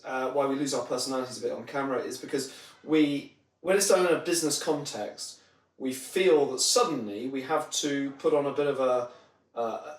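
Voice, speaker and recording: A man giving conversational explanations as an interview guest, illustrating his points with examples. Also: mid-range voice. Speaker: podcast guest, marketing trainer. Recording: roomy echo, distant microphone.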